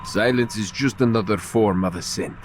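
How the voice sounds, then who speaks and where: A man plays a sneering, jealous rival assassin in a video game. He taunts in a low, mocking voice. A light layer of ambient noise runs behind it. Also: normal speed, close to an everyday voice. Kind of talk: dramatic video game acting.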